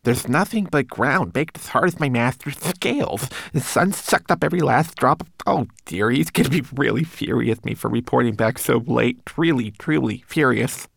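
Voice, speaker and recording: A man's voice: medium pitch, lively intonation, moderate pace, gravelly, hardly any pauses, no echo, pitched above the performer's own voice. A man voicing a tiny, nervous homunculus servant in a storybook, whining and stammering out excuses. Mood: anxious muttering.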